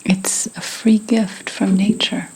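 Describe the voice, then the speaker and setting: Slow whispering witch, played by a woman. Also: mid-range voice, flat delivery, slight background noise, lightly acted, low-quality recording. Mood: indignant. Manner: slow, deliberate, scornful whispering with pauses and sighs. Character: indignant 17th-century witch, bitter potion-maker and healer. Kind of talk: whispered ASMR roleplay.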